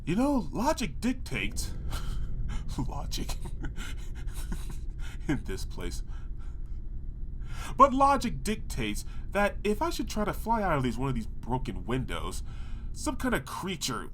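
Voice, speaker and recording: Male actor as sarcastic, put-upon cartoon pony for comedy horror audio drama; ranting delivery, very animated intonation, some noise behind. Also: on the high side, takes it slowly, pauses often, exaggerated voice. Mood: wry reasoning. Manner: sarcastic, ranting, talking back to the narrator. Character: exasperated, sarcastic male cartoon pony trapped in a haunted mansion.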